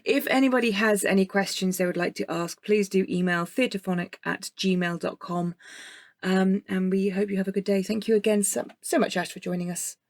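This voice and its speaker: A woman's voice: medium pitch, fairly even intonation, a little fast, no room sound, clean studio sound. A woman hosting a podcast in a casual, chatty style. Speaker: podcast host.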